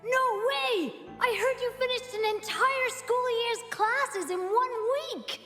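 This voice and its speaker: A woman voicing a friendly, excitable young girl who leads a little gang, in an anime-style video game. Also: very high voice, slow pace, exaggerated voice. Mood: starstruck, gushing. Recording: long reverb tail, music underneath.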